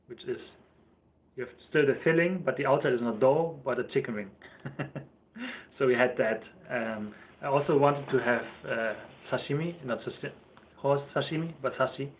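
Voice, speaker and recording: A young man with a German accent chatting casually on a livestream, rambling and correcting himself as he answers viewers' questions. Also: medium pitch. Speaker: YouTuber. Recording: thin phone-like livestream audio.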